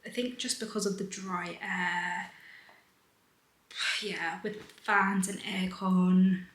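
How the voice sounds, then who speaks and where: A young woman with a British accent, chatting casually to camera in a weekend vlog, a little hoarse and drifting into half-whispers. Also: fairly low pitch, steady tone, slow pace, reverberant. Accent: British English. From United Kingdom. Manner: breezy and rambling, sometimes half-whispered. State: slightly hoarse. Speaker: vlogger.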